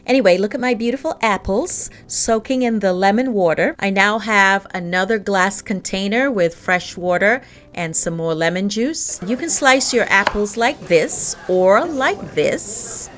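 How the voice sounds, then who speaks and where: Woman vlogger, mid-pitched, chatty and warm, talking through a recipe in a home kitchen. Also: animated, a little slow, few pauses. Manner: chatty, laughs often. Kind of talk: casual baking tutorial vlog.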